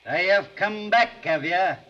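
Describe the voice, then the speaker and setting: A man's voice: high voice, animated, unhurried, sharp tone, muffled recording, faint music behind, heavily acted. A man playing the taunting ghost of an old pirate captain in a 1940s radio drama, speaking in archaic seafaring talk. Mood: sly, knowing.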